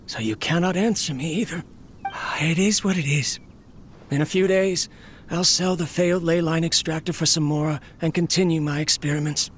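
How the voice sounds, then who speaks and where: A weary, lonely inventor talks to his machine in a worn, melancholy tone, pitched a touch high for a man. A faint ambient hum sits behind the game recording. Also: fairly bright tone. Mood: resigned. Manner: tired, melancholy monologue. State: ill, coughing, weakening. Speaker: game character.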